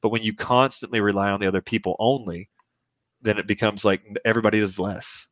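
A man in his thirties speaks in a low voice, chatting as a podcast guest and pressing his opinions with emphasis. His talk wanders through restarts as he builds his points. The audio is dry and a little dull, like a lo-fi podcast mic. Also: fairly even intonation, fast, a bit bright, no echo. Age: 30s. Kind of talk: casual conversational podcast chat.

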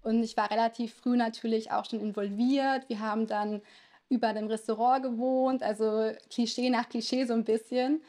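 A German-raised woman in her thirties, Italian her first language, talks through her legal career in a relaxed interview. Her voice sits slightly high. She hesitates often with fillers but keeps a friendly, chatty flow. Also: normal speed. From Lower Saxony, Germany. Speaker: lawyer, podcast guest. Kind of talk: conversational interview answers.

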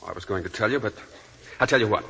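A charming, slippery young husband with a gambler's glibness and a short temper. He talks fast in a lowish, sharp-edged voice, insistent and mocking. It is 1940s radio acting on a dull vintage recording. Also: gravelly, slightly rough, heavily acted. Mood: defensive.

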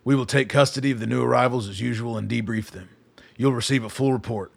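A man's voice: medium pitch, fast talker, creaky, no room sound. A man acting as a defiant middle-aged army colonel in a radio play, formal and sardonic in a clipped way. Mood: controlled, formal. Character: gruff, defiant army colonel facing down a politician.

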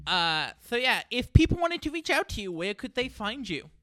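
A male podcast host with a high-pitched, very clear voice, recorded close and dry. He chats informally and rambles along in a casual, conversational way. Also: big pitch swings, normal speed, sharp tone, dry recording. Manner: chatty, informal, rambling sign-off.

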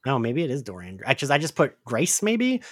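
A man co-hosting a casual recap chat, talking in an animated, conversational way with the odd swear word. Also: medium pitch, brisk, dry recording, studio quality. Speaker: podcast co-host.

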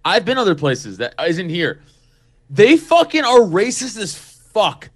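A man with a somewhat high voice chats bluntly on a podcast, swearing at every turn. He pushes his points hard and emphatically. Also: huge pitch swings, unhurried. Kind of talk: casual podcast chat.

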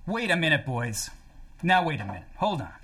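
A man voices a timid, deferential band spokesman for a comedy radio play. His pitch swings widely as he hedges politely and hesitates, ever loyal. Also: big pitch swings, fairly quick, bright, forceful tone, husky, full character voice. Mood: hesitant, deferential.

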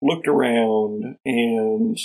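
An American man narrating a tabletop game as host and game master, with deliberate, theatrical phrasing. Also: mid-range voice, a little mellow.

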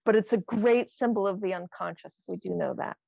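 Creaky woman, slightly dull sound. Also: medium pitch, fairly quick, a little mellow, dry recording. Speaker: podcast co-host, quiz reader. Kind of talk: casual banter, reading out quiz items.

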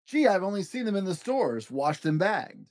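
An adult putting on an animated, curious young boy. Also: mid-range voice, moderate pace, no room sound. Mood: doubtful. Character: curious picky young boy, skeptical then delighted.

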